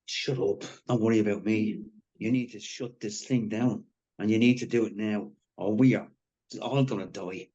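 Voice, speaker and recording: An actor in a dramatic table read playing a wounded, bitterly sarcastic man who speaks in a halting, urgent way. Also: lowish voice, fairly even intonation, warm tone, lightly acted. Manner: halting, urgent, foul-mouthed. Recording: dull lo-fi online call audio.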